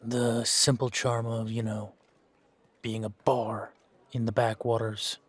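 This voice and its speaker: A man playing a gruff, world-weary fantasy monster hunter in an audio roleplay, wry and aloof, with a laconic, deadpan way of talking. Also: mid-range voice, takes it slowly, dry recording. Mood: dry, weary. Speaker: voice actor in fan-made audio roleplay. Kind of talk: slow in-character audio roleplay.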